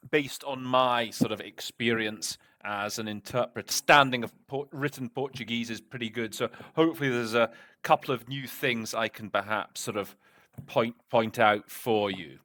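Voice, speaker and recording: Brief: male host, easygoing and talkative, mid-pitched, lively delivery. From Britain. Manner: chatty, self-deprecating, with fillers. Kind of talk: casual tutorial introduction.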